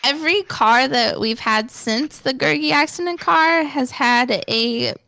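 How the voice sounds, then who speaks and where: A woman's voice: high-pitched, measured pace, gravelly, bright, forceful tone, no room sound. A young woman chatting casually and swearing freely in conversation. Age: young adult. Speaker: podcast guest.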